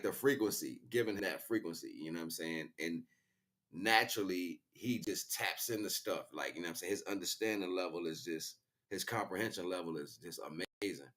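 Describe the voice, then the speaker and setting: A man's voice: sits low, fairly bright tone. A middle-aged man talking casually and conversationally on a livestream, rambling and often checking that listeners follow. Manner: conversational, frequent "you know what I'm saying" tags. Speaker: livestream host. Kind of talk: casual rambling spiritual talk to live chat.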